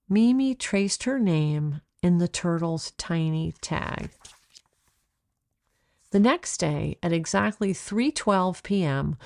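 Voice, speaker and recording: A woman narrating a children's storybook in a measured, expressive way, like an author reading aloud. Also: lowish voice, creaky, no echo, studio quality.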